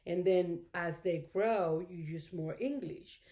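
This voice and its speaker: A woman's voice: lowish voice, slow pace, mellow. A middle-aged woman whose first language is Spanish, chatting casually to her viewers in a rambling, thankful way full of "you know"s. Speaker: craft vlogger. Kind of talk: casual chat to camera.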